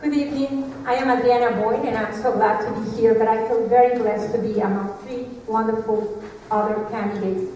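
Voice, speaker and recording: A candidate speaking English as her second language, her Mexican Spanish accent clear. Her voice sits slightly high and she talks earnestly. She is heard from far off in a big echoing hall with a faint hum behind. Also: darkish tone. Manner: earnest, a few fillers and restarts. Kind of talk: campaign speech at a forum. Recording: echoey hall, mic far off.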